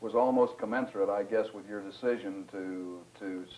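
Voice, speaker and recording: An American man conducting a formal interview with deliberate, leading questions. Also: lowish voice, steady tone, highs cut off. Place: small wood-panelled room, across a table.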